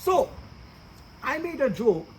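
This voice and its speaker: A man's voice: a little slow, background noise. A man with a Bangladeshi accent hosting a casual, profane comedic chat and mixing in Bengali. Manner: profane, joking, switches between English and Bengali. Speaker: comedian host.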